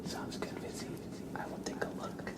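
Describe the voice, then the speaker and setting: A man streaming ASMR live, chatting casually in a gentle, hushed, breathy whisper. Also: moderate pace.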